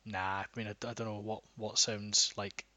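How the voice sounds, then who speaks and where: A man talking as a podcast guest in a bright, forceful voice with little rise and fall. He rambles, restarting sentences and leaning on fillers. The sound is dry and close. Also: fairly low pitch, little pitch movement, normal speed, dry recording. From Scotland. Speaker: guest, metal band guitarist. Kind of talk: casual podcast chat.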